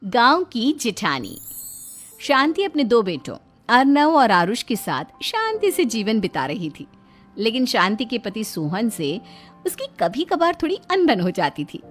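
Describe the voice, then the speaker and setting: A woman's voice: on the high side, wildly swooping pitch, unhurried, faint music behind. A woman narrating a scripted family moral story.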